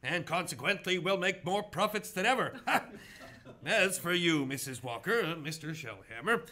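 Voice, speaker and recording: High, forceful, blustery male store boss acted. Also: lively intonation, unhurried. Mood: expansive, pleased. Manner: blustery, emphatic, expansive. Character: hearty, commanding male department store owner. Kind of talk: radio play acting.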